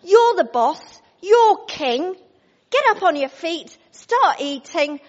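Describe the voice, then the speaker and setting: A woman's voice: extremely high pitch, very animated, slow pace, in a large hall, lo-fi sound, voice pushed up, full character voice. A woman voicing a scheming, domineering queen who orders her husband and servants about. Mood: commanding. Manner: commanding, coaxing.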